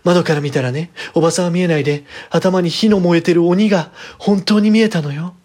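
Man voicing a cheeky young girl, raised pitch. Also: a little fast, a little mellow. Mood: frightened. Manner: girlish, dramatic phrasing. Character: headstrong, cheeky young girl, easily spooked.

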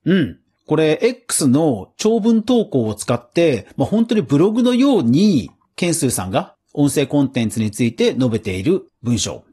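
Animated, husky-voiced man hosting a podcast. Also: fairly high pitch, moderate pace, hardly any pauses. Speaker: podcast host. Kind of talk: casual solo commentary.

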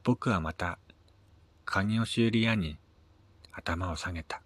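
A man narrating a first-person short story in a measured, dramatic reading with pauses. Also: low-pitched, a bit bright, dry recording. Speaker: amateur reader of his own short stories.

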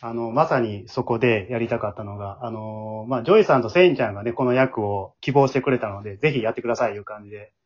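A man with a husky voice, hosting a casual round-table chat. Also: medium pitch, quick pace, few pauses. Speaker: host and drama group director. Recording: dull lo-fi audio.

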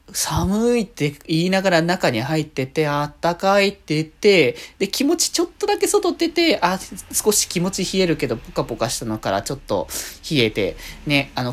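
A man hosting a solo podcast in a casual, chatty, rambling way, often drifting into little asides. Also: on the high side. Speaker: podcast host. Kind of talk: casual solo radio-style talk.

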